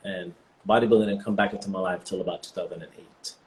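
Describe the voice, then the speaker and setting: Guy in his 40s, lowish voice, laid back, lots of "you know", just telling his story. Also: quick pace, slight background noise. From born in the US, raised partly in Jamaica. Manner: relaxed, reflective storytelling with many "you know" fillers. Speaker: guest, vegan bodybuilder. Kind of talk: casual interview answers.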